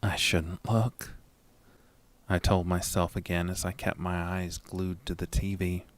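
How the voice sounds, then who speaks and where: Deep, unhurried man narrating horror. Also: flat delivery, background noise. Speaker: narrator and lead of a horror audio story. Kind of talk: first-person scripted horror narration.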